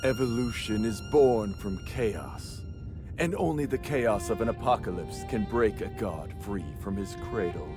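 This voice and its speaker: An actor playing a sleazy, seductive fallen angel villain in a video game, teasing and theatrical. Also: male voice, lowish voice, animated, a little slow, over music. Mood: smug, teasing. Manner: drawling, teasing, mock-sweet. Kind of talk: dramatic video game voice acting.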